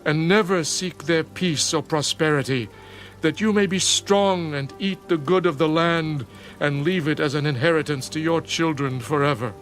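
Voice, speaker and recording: An actor playing a penitent Hebrew priest at prayer in a dramatised scripture reading, pleading solemnly in measured phrases. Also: male voice, a little high, a bit bright, natural voice, poor audio. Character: penitent male Hebrew priest praying in anguish. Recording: music behind the voice.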